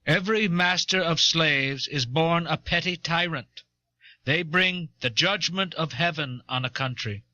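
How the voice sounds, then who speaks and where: A man playing an indignant eighteenth-century American statesman, giving a solemn, deliberate oration in a historical dramatization, on an old cassette recording. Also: a little high, bright, forceful tone, no room sound. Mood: grave indignation. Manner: grave, deliberate, oratorical. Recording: dull old cassette tape.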